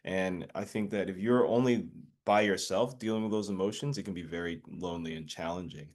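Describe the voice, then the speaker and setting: A man in his thirties, a podcast guest, talking in a relaxed way with lots of fillers and tag questions. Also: low-pitched, fairly quick. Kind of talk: casual conversation.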